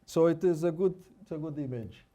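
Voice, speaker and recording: A man's voice: on the high side, fairly quick, mellow. A man from Brazil with a Brazilian Portuguese accent, speaking English as a conference speaker on fetal hearts, informal and full of restarts and tag questions. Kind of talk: conference lecture with live scan.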